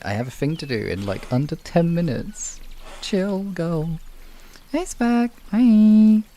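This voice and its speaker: A man's voice: medium pitch, vocal fry, mellow, slight background noise. A man with a British accent hosting a livestream, chatting casually and rambling informally with some swearing. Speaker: livestream host. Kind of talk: casual chat with stream viewers.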